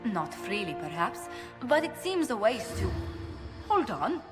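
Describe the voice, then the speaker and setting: An actress playing a reasonable, tactful advisor in a fantasy game, formal in speech. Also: female voice, mid-range voice, sing-song, a little slow. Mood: conciliatory. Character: diplomatic, reasonable female advisor. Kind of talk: dramatic fantasy game acting. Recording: long hall reverb, faint music.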